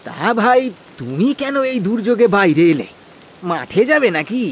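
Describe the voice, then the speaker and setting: Man playing a high-voiced flustered village farmer. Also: very animated, measured pace, slight background noise. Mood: worried. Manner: flustered, stammering repetitions, rustic speech. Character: anxious, hard-working village farmer, timid husband. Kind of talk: cartoon voice acting.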